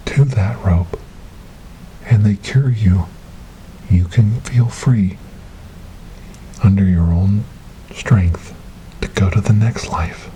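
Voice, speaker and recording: A man playing a gentle, devout rescuer in an ASMR storytelling roleplay, speaking softly and breathily in a soothing, reassuring way. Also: fairly low pitch, slight background noise, low-quality recording. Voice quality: soft, breathy, whispery. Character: gentle, devout male rescuer coaxing a lost ghost girl.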